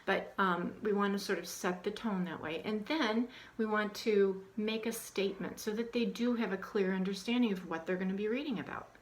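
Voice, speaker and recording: A woman's voice: mid-range voice, moderate pace, few pauses. A woman giving an informal instructional talk on reading aloud to children, conversational with frequent ums and restarts. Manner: conversational, many ums, acts out questions to children in a hushed, animated voice. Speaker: teacher trainer.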